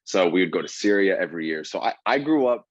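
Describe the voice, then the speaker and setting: A man's voice: deep voice, expressive, quick pace, no room sound, muffled recording. A man in his twenties from Ohio, a guest comedian telling his life story in a casual podcast interview, earnest and full of fillers. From Ohio, USA. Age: 20s.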